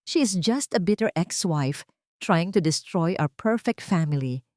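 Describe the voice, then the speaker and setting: A woman's voice: lowish voice, expressive, a little slow, no echo, clean studio sound. A woman voicing a desperate, scheming villainess in an audio love drama, pleading and accusing as she justifies herself. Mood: desperate, defiant. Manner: shouting accusations and self-justifications. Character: desperate, gaslighting villainess, bitter scheming mistress.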